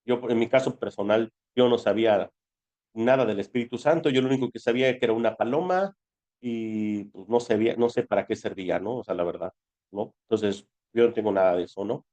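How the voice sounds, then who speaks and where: A man's voice: fairly low pitch, brisk, darkish tone. A man with a Mexican Spanish accent giving an informal spiritual lesson, talking conversationally like a teacher. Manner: conversational, asks rhetorical questions and answers them. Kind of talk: informal explanatory spiritual talk.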